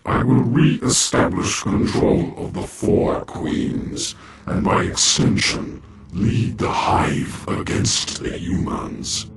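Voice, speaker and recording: Scheming noble villain for a fantasy game: haughty, creaky, unhurried, on very noisy audio. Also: male voice, medium pitch. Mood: resolved. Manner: measured, haughty, dry formal diction. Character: scheming, aristocratic male dark fantasy villain lord. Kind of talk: theatrical fantasy game cutscene acting.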